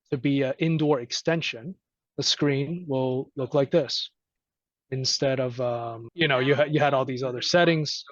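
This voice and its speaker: A man giving an informal, halting technical walkthrough as a trainer, conversational and often checking in with listeners. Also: a little high, no room sound, lo-fi sound. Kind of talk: informal screen-share software walkthrough.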